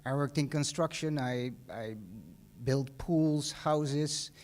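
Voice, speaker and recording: A man's voice: on the high side, fairly even intonation, takes it slowly, darkish tone. A middle-aged man with a Dutch accent giving a casual, rambling graduation speech in a large hall, full of fillers and restarts. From Netherlands. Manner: conversational, many fillers and restarts. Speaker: guest speaker.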